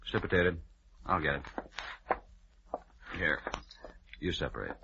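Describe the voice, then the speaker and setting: An actor playing a jaunty, morbidly curious, betting lab assistant in an old-time radio drama. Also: male voice, rumbling low, expressive, measured pace, vocal fry, full character voice. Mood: keen. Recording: muffled, noisy old radio recording.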